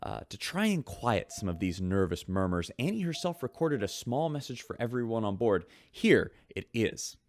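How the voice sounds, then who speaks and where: An actor playing a chatty, gossipy radio show host on a spaceship in an audio drama. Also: male voice, on the low side, very animated, moderate pace, few pauses, darkish tone. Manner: chatty, gossipy announcer delivery. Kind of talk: radio drama acting as a broadcaster.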